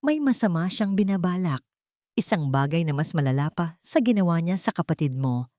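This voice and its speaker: A woman's voice: on the low side, expressive, normal speed, dark tone, no echo, clean studio sound, close to an everyday voice. A woman voicing a frightened, pleading uncle with a trembling voice in an audio story. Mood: tearful, dread. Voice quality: trembling, breaking voice. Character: terrified pleading uncle, weepy and desperate man.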